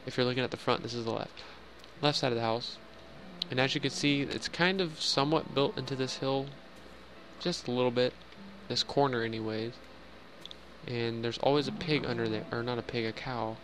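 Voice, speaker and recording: A man's voice: mid-range voice, moderate pace, background noise. A young man casually narrating a game house tour in voice-over, rambling with fillers and joking at himself. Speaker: Minecraft builder giving a house tour.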